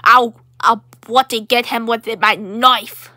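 Woman putting on a brash, bright-voiced Aussie bloke. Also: fairly high pitch, steady tone, takes it slowly. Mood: menacing, cheerful. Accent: Australian, put on. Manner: brash, matey, repetitive slangy phrasing. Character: Australia as a cheerful, knife-happy Aussie bloke. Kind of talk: improvised comedy character skit.

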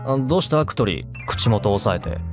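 Man acting easygoing, animated anime young man. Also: mid-range voice, fairly quick, over music, lightly acted. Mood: relishing, easygoing. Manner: casual, easygoing. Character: easygoing, kindly young man, obliviously content. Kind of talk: Japanese anime audio drama acting.

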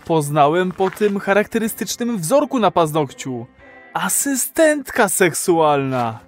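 An actor playing an earnest, rebellious citizen of a dystopian future in a radio play. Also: male voice, high-pitched, very animated, a little slow, faint music behind. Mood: insistent. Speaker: actor in an audio drama.

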